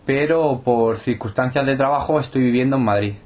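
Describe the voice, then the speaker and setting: A young man speaks with a southern Spanish accent that has an Andalusian tinge. His voice sits at an ordinary middle pitch, and he answers interview questions in a steady, explanatory way, often pausing on fillers. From Spain. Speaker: interviewed footballer.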